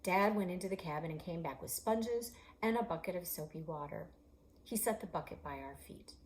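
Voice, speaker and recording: Woman librarian-author, low-ish voice, lively read-aloud for kids with whispered touches. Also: moderate pace, a little hiss or hum, low-quality recording. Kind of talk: reading her novel aloud for children.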